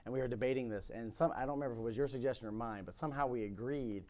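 A man's voice: mid-range voice, rapid-fire, a little mellow. A man in his thirties with a slightly scratchy voice, interviewing a guest on a conference stage in a chatty, hesitant way full of ums. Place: conference stage with curtain backdrop. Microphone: lapel mic.

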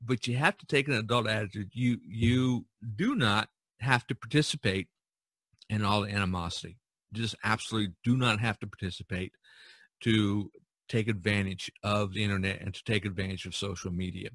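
A man's voice: fairly low pitch, fairly even intonation, brisk, fairly bright tone, no room sound. A middle-aged man hosting a podcast, giving conversational commentary and repeating his points for emphasis. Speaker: podcast host.

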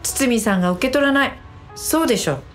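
Cheeky young woman played by an actress, very animated. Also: a little high, brisk, faint music behind, natural voice. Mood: blunt. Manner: teasing, casual, blunt. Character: cheeky, blunt young female marriage agency staffer. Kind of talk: radio drama acting.

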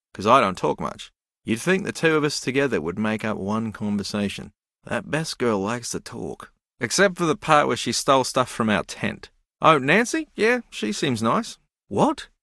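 An actor playing a slow-witted, good-natured ex-rugby player in a video game, earnest, literal and befuddled. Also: male voice, medium pitch, big pitch swings, creaky, pushed and bright, no echo, clean studio sound, heavily acted.

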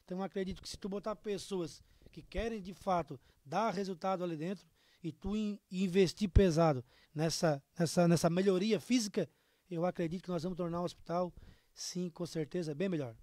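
Guy in his 30s, southern brazilian accent, high voice, earnest campaign talk, dry studio sound. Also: fairly quick, warm tone, no echo. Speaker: political candidate being interviewed. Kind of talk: interview answers, campaign appeal. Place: small indoor studio. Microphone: microphone on a stand.

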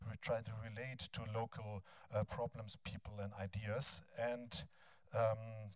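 A man in his fifties with a German accent gives a lecture in a large bright hall on a handheld mic, hesitating often with ums and restarts. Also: fairly low pitch, level tone. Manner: halting, frequent ums and restarts. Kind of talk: academic lecture, then reading a text aloud.

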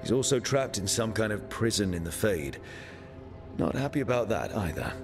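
A man's voice: on the low side, lively intonation, moderate pace. A man voicing a blunt, matter-of-fact young hero who leads his team, in game dialogue. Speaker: player character. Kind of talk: video game voice acting. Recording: game dialogue over clear music.

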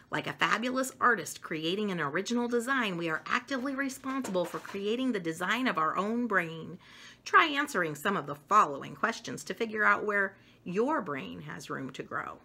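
Animated, bright-voiced woman reading aloud. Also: medium pitch, husky. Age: middle-aged. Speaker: storyteller reading picture books. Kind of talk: read-aloud of a children's educational book. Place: home dining room, indoors.

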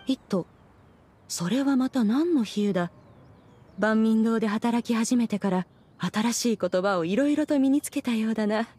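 A woman's voice: on the high side, moderate pace, warm tone, breathy edge. A woman voicing a proud, regal ancient immortal in an anime game, a stern but caring master with stately, archaic diction. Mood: haughty, curious.

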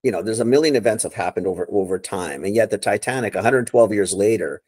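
A man's voice: lowish voice, quick pace, a little mellow, no room sound. Enthusiastic, rambling man, dry sound. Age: middle-aged. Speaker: co-host. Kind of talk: casual chat. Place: living room, seated on a sofa. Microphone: video call.

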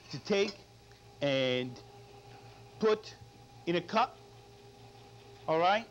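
A middle-aged male chef giving an unscripted cooking demonstration, often checking in with short tag questions. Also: a little high. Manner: frequent tag questions "all right?". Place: studio kitchen. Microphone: lapel mic. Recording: dull lo-fi audio, background noise.

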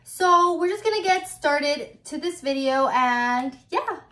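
A woman's voice: high-pitched, expressive, takes it slowly, a bit bright, a little hiss or hum. A woman in her twenties chatting casually on a beauty vlog, filled with "like", recorded on a phone in a bedroom. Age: 20s. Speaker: YouTuber. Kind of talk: casual chat. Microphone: phone camera. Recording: echoey room.

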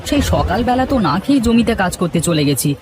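Guy doing grumbly dramatic cartoon husband, kinda high voice, always hungry and fed up. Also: big pitch swings, fairly quick, background noise. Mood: impatient hunger. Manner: complaining, melodramatic wailing. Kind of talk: Bengali comic cartoon dialogue.

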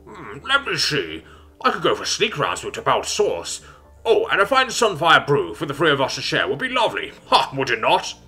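A man's voice: fairly high pitch, wildly swooping pitch, moderate pace, sharp tone, breathy edge, faint music behind, voice pushed up, heavily acted. A man voicing a jovial, easygoing male alien who slithers, in a sci-fi story.

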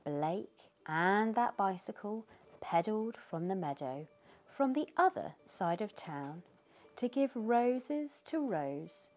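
A woman's voice: mid-range voice, lively intonation, a little mellow. A middle-aged British woman reading a picture book aloud to children in a living room, asking them questions as she goes. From United Kingdom. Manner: slow, sing-song storytelling with questions to young listeners.

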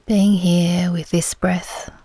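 A woman leading a guided meditation in a gentle, soothing, unhurried manner, her soft voice turning breathy at the ends of phrases. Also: fairly low pitch, little pitch movement, no room sound.

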